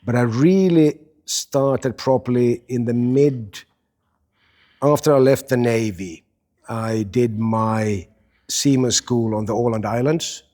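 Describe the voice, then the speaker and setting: A man's voice: medium pitch, slow pace, a little mellow. A man in his fifties from Finland, a podcast guest chatting casually and telling stories with self-deprecating jokes and some restarts. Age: 50s. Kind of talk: casual chat.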